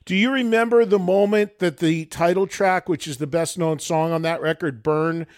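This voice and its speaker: A man's voice: a little high, fairly even intonation, moderate pace, no echo. A man hosting a podcast, asking eager, probing interview questions into a close mic. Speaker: podcast host.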